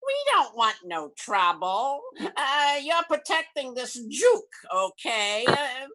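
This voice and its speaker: Dim, menacing hoodlum, high, sharp voice, very slow halting radio delivery. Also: female voice, lively intonation, heavily acted, poor audio. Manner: giggly, halting, threatening. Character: giggling, menacing gun-toting crook, slow-witted. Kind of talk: radio play acting.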